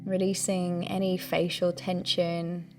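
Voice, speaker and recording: A woman leading a guided breathing meditation, giving gentle, soothing instructions in a calm, unhurried way. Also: on the low side, faint music behind.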